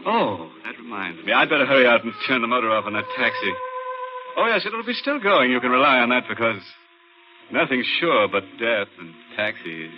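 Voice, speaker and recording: A man's voice: mid-range voice, very animated, moderate pace, background noise, close to an everyday voice. A man playing a gleeful, ghoulish 1940s radio horror host, sardonic and mock-confiding as he delivers morbid puns. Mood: gleefully morbid. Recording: muffled old radio with music.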